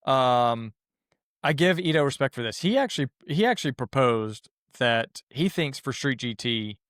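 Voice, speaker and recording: Man, Southern accent, smooth tone, easygoing, mid pitch, dry recording. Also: animated, moderate pace, fairly bright tone, no echo. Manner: easygoing, agreeable, frequent yeahs. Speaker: podcast co-host. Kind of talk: casual chat.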